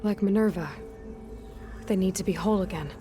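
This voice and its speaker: A woman's voice: mid-range voice, brisk, warm tone, close to an everyday voice. An actress voicing a determined young woman hunter in a video game cutscene, asking direct, probing questions. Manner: direct, inquisitive. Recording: game cutscene with music, distant.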